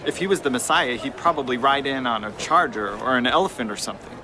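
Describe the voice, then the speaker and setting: A man's voice: mid-range voice, fast talker, noisy background, lightly acted. A man playing a cynical, ambitious young runaway slave, brash and dismissive, in a family audio drama.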